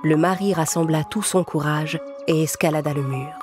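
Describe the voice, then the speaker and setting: A woman's voice: low-pitched, fairly even intonation, moderate pace. A woman reading a scripted fairy tale as a storyteller. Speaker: narrator. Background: music.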